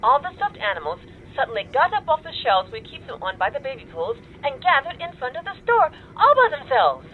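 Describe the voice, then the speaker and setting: A woman's voice: high-pitched, sing-song, brisk, few pauses. A woman playing an earnest, uneasy store hostage in an audio drama, speaking over a telephone. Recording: muffled phone line, faint background noise.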